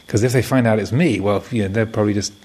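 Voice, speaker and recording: A man's voice: on the low side, quick pace, a little mellow, husky. A man voicing a young fisherman, nervously laying out a scheme in a hesitant, conspiratorial way.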